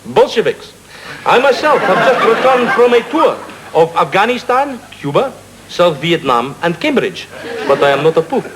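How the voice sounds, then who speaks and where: A man's voice: sits high, sing-song, normal speed. A man playing a cheerful, defensive Soviet citizen with a Russian accent, delivering an earnest, stilted comic monologue. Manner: earnest, declarative, stilted phrasing. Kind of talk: comic monologue to a live audience. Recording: very noisy broadcast comedy recording.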